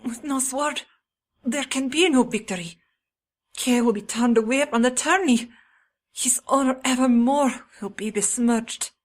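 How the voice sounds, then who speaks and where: A woman's voice: high-pitched, measured pace. A woman voicing a noble, earnest thirteen-year-old squire boy in a storybook tale, declaiming in archaic English with music underneath. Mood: worried.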